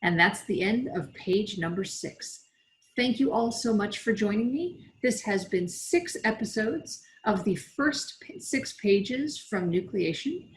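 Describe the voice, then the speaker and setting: A woman's voice: medium pitch, expressive, takes it slowly, poor audio. Woman narrating, author reading aloud. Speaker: author reading from own novel. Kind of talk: scripted narration, audiobook-style reading.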